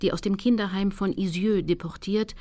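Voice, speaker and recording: An actress in a radio play voicing a determined investigator who recounts her hunt in sober, measured, scripted narration. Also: mid-range voice, fairly even intonation, mellow, natural voice. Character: determined woman investigator recalling her hunt for war criminals.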